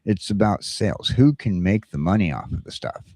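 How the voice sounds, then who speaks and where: A man's voice: low-pitched, lively intonation, moderate pace, a little mellow, no room sound, clean studio sound. A man hosting a casual talk show, rambling along and repeating phrases for emphasis. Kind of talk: casual chat.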